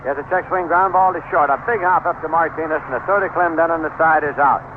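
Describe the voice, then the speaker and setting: A man calling baseball play by play on 1970s radio, describing the action in measured, short phrases. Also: on the high side, steady tone. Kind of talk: 1970s radio sports commentary. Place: ballpark broadcast booth. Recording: noisy, narrow-band old AM radio broadcast.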